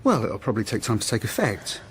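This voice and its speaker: A woman's voice: extremely low pitch, big pitch swings, fast talker, a little hiss or hum. A woman playing a blunt, attentive, kindly butcher in radio drama dialogue, speaking in a direct, questioning way. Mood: concerned.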